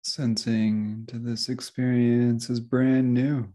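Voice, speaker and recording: Slow, mellow man guiding meditation. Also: medium pitch, flat delivery. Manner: gentle, unhurried, with long pauses. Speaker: meditation teacher. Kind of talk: guided meditation.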